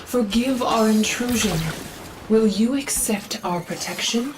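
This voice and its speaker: An actress playing a devout, earnest female zealot guarding a sacred forest in a video game, reverent, solemn and measured. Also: fairly high pitch, heavy background noise, lightly acted.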